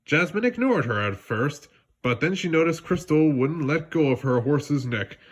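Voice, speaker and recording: A man reads a children's story aloud with very animated pitch. He narrates expressively and now and then trips over a word and corrects himself. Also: normal speed. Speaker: storybook reader.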